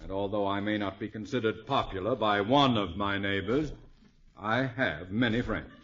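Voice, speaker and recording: A dull vintage radio recording of a man playing a pompous, wealthy stuffed shirt. The voice is fairly low, clipped and cold, with a boastful air. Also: normal speed, fairly bright tone, lightly acted. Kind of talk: 1950s radio comedy acting. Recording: muffled vintage 1950s radio broadcast.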